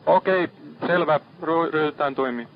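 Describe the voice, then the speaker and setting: A man working as a ship's radio operator, calm and businesslike, repeating figures back in radio procedure. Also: a little high. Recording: muffled archive marine radio.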